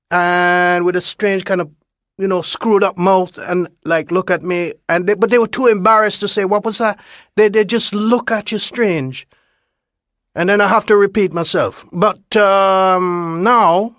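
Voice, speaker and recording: A man recalling his own experience in a halting way, repeating words, growing animated as he describes things. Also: high voice, no echo, studio quality. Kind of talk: unscripted personal account.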